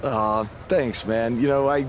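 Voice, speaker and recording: A man acting as an earnest young rookie pro wrestler in a video game cutscene, talking casually and haltingly with his buddy. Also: mid-range voice, steady tone, slight background noise, lightly acted. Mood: excited, sincere. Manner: casual, halting, bro-ish talk.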